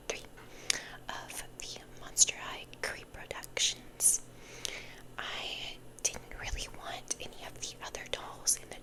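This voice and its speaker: A young woman hosting ASMR, rambling casually in a whisper and repeating words and phrases for effect. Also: low-quality recording. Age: young adult. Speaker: ASMR video host.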